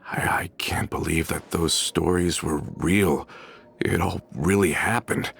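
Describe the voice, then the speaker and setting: A man's voice: low voice, steady tone, a little slow, creaky, a bit bright, breathy edge, full character voice. A man voicing a loyal, earnest gang veteran in a video game, with emotive delivery. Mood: disbelieving, troubled. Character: loyal male gang veteran, shaken by loss.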